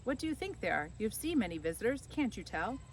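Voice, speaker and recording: An eager, cheeky boy of fourteen from a children's storybook , played by a woman reader. He is curious and excitable, with lively rising and falling pitch. Some noise runs behind the recording. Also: fast talker, distant mic. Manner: questioning, excitable. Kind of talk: children's storybook character reading.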